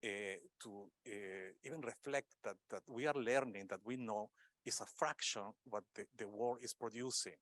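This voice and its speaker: A middle-aged man from Peru, speaking as an academic on a conference panel, unscripted and halting, often searching for words between fillers. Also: lowish voice, a bit bright, no echo, clean studio sound.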